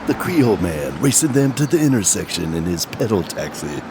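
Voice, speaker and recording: An actor playing a sly devilish spirit who narrates a horror audio drama in a theatrical, teasing, gravelly voice, savoring every dark detail. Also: male voice, low-pitched, very animated, normal speed, noisy background. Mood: sly, intrigued. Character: sly devilish male spirit narrator relishing death and darkness. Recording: music under the voice.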